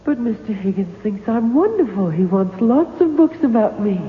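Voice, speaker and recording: A woman's voice: fairly high pitch, very animated, normal speed. An actress playing a coquettish, self-absorbed ghostly fictional woman, airy and teasing, in 1970s radio drama style. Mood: wheedling. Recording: echoing, tinny, noisy old radio recording.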